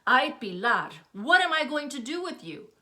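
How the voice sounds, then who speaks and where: A woman's voice: high voice, very animated, normal speed, pitched above the performer's own voice, heavily acted. A woman doing a fed-up scolding mother. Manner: sharp, rising, emphatic scolding. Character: exasperated scolding middle-aged mother, fiercely protective.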